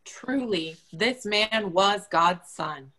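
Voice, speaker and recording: A woman's voice: medium pitch, very slow, a bit bright, a little hiss or hum. A woman giving a dramatic scripture reading as one of several readers.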